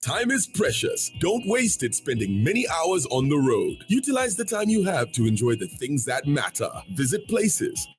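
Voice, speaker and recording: A man's voice: fairly high pitch, big pitch swings, moderate pace, few pauses. A man reads a scripted radio advert in a persuasive, promotional manner. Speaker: advertisement announcer. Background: music.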